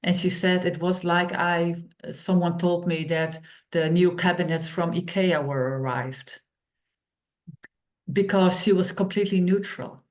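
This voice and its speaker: A woman, co-hosting an online workshop and telling stories in plain, unhurried phrases with many pauses. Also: lowish voice, fairly even intonation, darkish tone. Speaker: workshop co-host and author.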